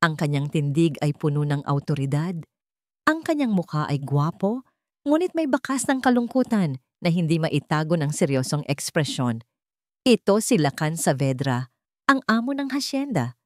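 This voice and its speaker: A Filipino woman narrates a scripted drama in a slightly low voice. Her pitch moves in wide, lively sweeps, and the sound is very dry. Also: normal speed, no room sound. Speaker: storyteller.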